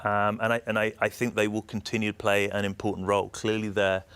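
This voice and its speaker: A man with a British accent giving measured, explanatory answers as an executive being interviewed. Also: on the low side, monotone, fairly bright tone, clean tone, no echo. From United Kingdom. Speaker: energy system operator executive, interview guest.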